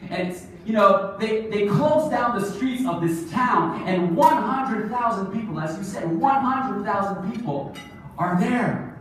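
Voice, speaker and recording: A man in his forties telling stories as a keynote speaker on stage, lively, asking the audience questions. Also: high voice, slight background noise. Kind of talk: storytelling keynote speech. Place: large conference hall, on stage. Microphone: phone camera far from the stage. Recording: echoey hall recorded from far off.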